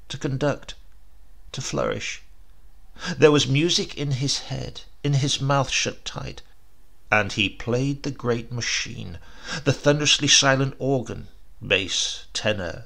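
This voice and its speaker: A man narrating a scripted story as an audiobook reader, with deliberate, theatrical phrasing. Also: mid-range voice, creaky, pushed and bright.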